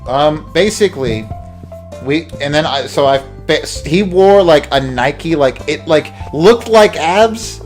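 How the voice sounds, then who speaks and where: A young man telling a story casually while gaming, in a halting, rambling, slangy way. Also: on the high side, lively intonation. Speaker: let's play host. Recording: game music playing behind. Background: video game music.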